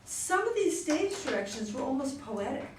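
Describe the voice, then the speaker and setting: Woman w a highish voice running a casual group chat, roomy sound, bit far from the mic. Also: very animated, normal speed. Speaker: organizer of a play-reading group. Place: indoor room at a group meeting. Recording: roomy, distant mic with background noise.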